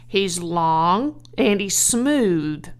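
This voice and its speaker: A woman's voice: fairly low pitch, lively intonation, smooth. Woman voicing a pompous, proud middle-aged male farmer. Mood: proud, important. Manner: pompous, deliberate, weighty.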